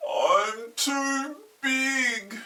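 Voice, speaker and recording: Lady doing a big sad helpless whale from a picture book, super slow moaning, distant mic. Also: sits high, bright, forceful tone, voice pushed up, exaggerated voice. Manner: moaning, drawn-out. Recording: roomy, far from the mic.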